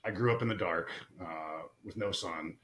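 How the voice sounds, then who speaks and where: A middle-aged man from Massachusetts chats as a podcast guest, his voice bright and forward. He tells animated stories, laughs easily and keeps checking in with his listener. The sound is roomy, with a faint hum of ambience behind him. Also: sits low, moderate pace. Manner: animated, laughs often, frequent "you know what I mean". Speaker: podcast guest, retired soldier. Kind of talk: casual storytelling chat.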